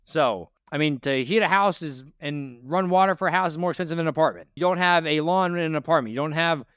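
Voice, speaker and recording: A man hosting a money audit show, talking in a blunt, lecturing way. His voice is smooth and clear, sitting a little higher than most men's. The sound is dry and close to the mic. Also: fast talker, fairly bright tone, dry recording. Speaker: host. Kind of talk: financial audit interview.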